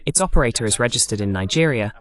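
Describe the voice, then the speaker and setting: A man hosts a current affairs talk in a measured, formal way. His voice sits at an ordinary pitch and moves up and down in a lively manner, like an announcer introducing and steering a discussion. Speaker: host. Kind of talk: scripted introduction and moderating questions, translated voice-over.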